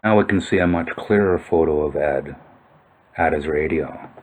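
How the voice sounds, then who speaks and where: A man with a low-pitched voice narrates a video in an informal, explanatory style. He muses as he goes, thinking aloud as he works through what he is examining. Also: normal speed, a little mellow. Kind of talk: informal explanatory commentary over old photos.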